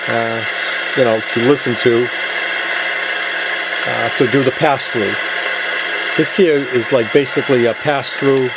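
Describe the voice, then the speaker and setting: A man doing a casual hands-on product demo, explaining it step by step in a halting, improvised way. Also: medium pitch, steady tone. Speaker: product demonstrator and seller. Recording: clear background noise, radio chatter. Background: CB radio chatter and noise.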